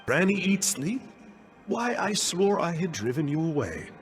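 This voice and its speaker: Theatrical, lightish-voiced game villain. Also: male voice, on the high side, sing-song, measured pace. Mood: wry, wistful. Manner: grandiose, flowery, mock-courteous. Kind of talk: scripted video game dialogue. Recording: game music behind. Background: game music.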